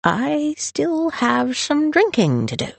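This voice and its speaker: This is a drunken young gentleman of good family, acted by a woman reader. He drawls and slurs his taunts, sulky and mocking, with a pitch that swoops about. It fits a dramatised storybook. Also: sing-song, slow pace, slightly rough, no room sound, full character voice. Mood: sulky drawling. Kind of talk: dramatised audiobook character voice.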